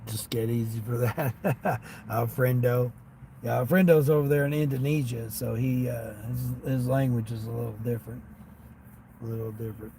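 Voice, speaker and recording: A man with a light Southern American accent talks in a mid-pitched voice, rambling casually like a driver hosting a livestream. His speech is folksy and unscripted. A faint vehicle noise sits behind him. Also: normal speed. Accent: American with a Southern tinge. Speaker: livestream host, gig delivery driver. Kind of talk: casual livestream chat, replying to viewers. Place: inside a parked vehicle. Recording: steady vehicle and ambient noise.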